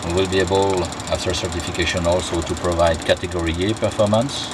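A man's voice: deep voice, steady tone, quick pace. A male test pilot giving a methodical, step-by-step walkthrough of a helicopter cockpit, recorded on a handheld camera on an airfield. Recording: loud engine and outdoor noise.

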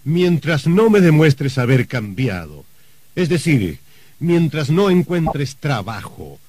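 A man's voice: a little high, sing-song, takes it slowly, a little mellow, no echo, full character voice. An actor playing a stern, anxious older wealthy man in a radio drama, hesitant and given to muttering. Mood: stern refusal.

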